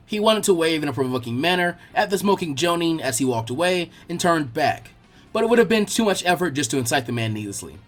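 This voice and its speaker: A man narrating fan fiction from a script, telling the story in an animated way. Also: fairly high pitch, quick pace, faint music behind.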